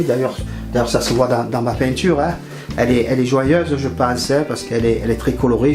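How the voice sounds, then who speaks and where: An older man from France, a painter talking casually in his studio, hesitant and often repeating his words. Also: mid-range voice. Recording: roomy studio with music over it. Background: guitar music.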